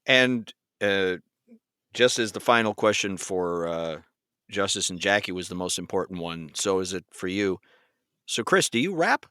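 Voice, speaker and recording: A man hosting an interview in a conversational, polite and appreciative way, often halting and repeating words. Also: low voice, expressive, bright, forceful tone, smooth, dry recording, clean studio sound. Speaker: interviewer, podcast host.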